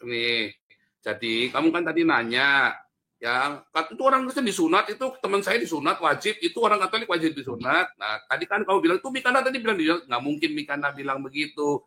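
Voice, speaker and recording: A man with a sharp, forceful voice pitched a little high. He speaks as a pastor in a religious debate, pressing his point bluntly in informal language. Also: very animated, brisk. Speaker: pastor on a panel.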